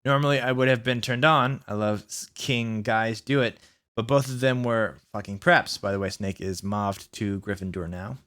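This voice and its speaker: A man hosting a comic read-aloud of fan fiction, sardonic, with mocking asides and deliberately kept mistakes. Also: lowish voice, a bit bright. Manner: deliberately reads out misspellings, sardonic.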